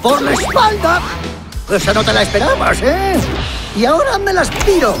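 A man voicing a cocky, easily panicked crocodile henchman in a cartoon, gravelly and taunting. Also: extremely high pitch, wildly swooping pitch, normal speed, exaggerated voice. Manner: taunting, exclamatory. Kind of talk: cartoon dub acting. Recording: loud music over the voice.

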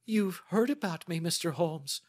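A man's voice: fairly high pitch, expressive, measured pace, dry recording, clean studio sound, pitched above the performer's own voice. A man voicing an anxious, chatty young female client in an audiobook, with a flustered, rambling manner. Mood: startled. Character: anxious, good-humored, chatty large young woman client. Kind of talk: audiobook character dialogue.

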